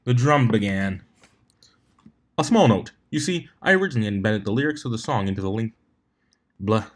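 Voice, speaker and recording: A man's voice: fairly low pitch, expressive, normal speed. A man narrating a scripted fan fiction story as its reader.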